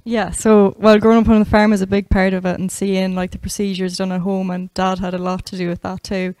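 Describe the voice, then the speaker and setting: A young woman in her twenties from the west of Ireland with an Irish accent, a veterinary student answering interview questions in a soft, hesitant way. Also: medium pitch, flat delivery, brisk, no echo. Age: 20s.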